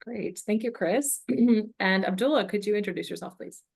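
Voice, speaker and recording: A woman moderating a career webinar panel, prompting speakers politely and briskly. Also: mid-range voice, gravelly, husky.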